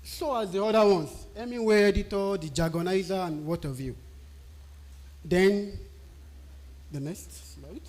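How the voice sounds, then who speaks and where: High-voiced Nigerian man explaining tech writing. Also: unhurried, pauses often, a little mellow. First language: a Nigerian language. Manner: explanatory, asks and answers own questions. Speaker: technical writing speaker. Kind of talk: live-stream presentation.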